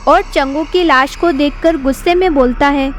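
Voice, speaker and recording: ऊँचे सुर में कहानी सुनाती महिला. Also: female voice, high-pitched, moderate pace, slight background noise. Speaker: narrator. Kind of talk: scripted horror story narration. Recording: background music.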